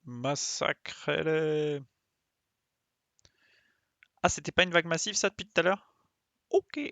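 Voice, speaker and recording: A man's voice: a little high, slow, creaky, bright, forceful tone, no room sound. A man giving casual live gameplay commentary, thinking aloud and muttering to himself with small playful sound effects. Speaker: game streamer.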